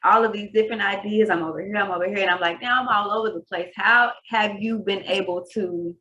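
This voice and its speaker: A woman's voice: medium pitch, fairly even intonation, fairly quick, bright, forceful tone, dull audio, slight background noise. A woman from Texas, hosting a podcast interview in a casual, rambling way. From Texas, USA. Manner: casual, rambling, laughs easily. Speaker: podcast host.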